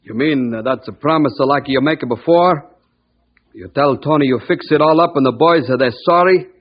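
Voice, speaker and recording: A man playing a warm-hearted, earnest Italian shopkeeper who speaks broken English with an Italian accent in an old-time radio drama. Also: on the high side, moderate pace, natural voice. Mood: hurt, pleading. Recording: muffled old radio recording.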